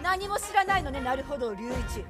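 A woman's voice: high voice, sing-song, fairly quick. A woman playing a haughty, scornful young prosecutor in a stage play. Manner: imperious, scornful. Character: haughty, sharp-tongued young female prosecutor. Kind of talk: theatrical stage play acting. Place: theatre stage. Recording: stage music behind, theatre recording.

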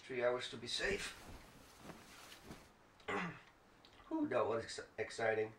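A man's voice: medium pitch, big pitch swings, slow pace, fairly bright tone. A man giving casual let's play commentary on a game, swearing and muttering as he reacts. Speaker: gaming video host. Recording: roomy, distant mic, clear background noise.

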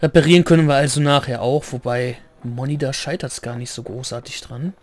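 A man with a slightly hoarse voice giving rambling, chatty commentary while playing a game, clearing his throat now and then. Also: medium pitch, fairly even intonation, brisk, darkish tone. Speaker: let's play gamer. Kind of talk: casual gameplay commentary.

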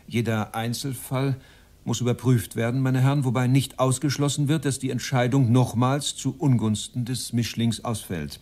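A man acts out a Nazi official chairing a conference in a radio play. His voice sits at an average male pitch. He is formal and cold, itemising points with bureaucratic precision. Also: darkish tone, lightly acted. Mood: officious, cold. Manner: formal, clipped enumerating, bureaucratic. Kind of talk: dramatized reading of a protocol.

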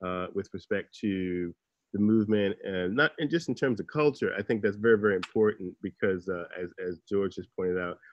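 A man moderating a panel over a video call, introducing the next guest in a measured way with many fillers. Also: low-pitched, lo-fi sound, slight background noise.

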